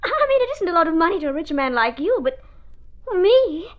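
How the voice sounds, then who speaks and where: An independent, soft-hearted lady in a vintage radio play. Her voice sits high with lively rises and falls, and she speaks with polite, earnest restraint. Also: fairly quick, muffled recording. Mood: hopeful, elated. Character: independent, gentle, earnest unmarried woman. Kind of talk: mid-century radio drama acting.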